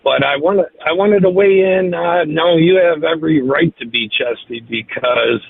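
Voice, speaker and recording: A man's voice: on the high side, moderate pace, gravelly. A man calling in to a sports radio show, eager and rambling, heard over a phone line. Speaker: caller. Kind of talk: call-in chat.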